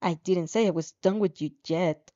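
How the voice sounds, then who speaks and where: Woman voicing teenage boy bully, story character, angry, threatening, gritted sneer, mellow timbre, lo-fi recording. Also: fairly low pitch, moderate pace, no room sound, voice pushed down, natural voice. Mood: menacing. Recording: dull lo-fi sound.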